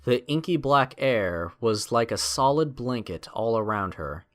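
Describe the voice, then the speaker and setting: Expressive male story narrator, lively intonation. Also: medium pitch, unhurried. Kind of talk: scripted dramatic story narration.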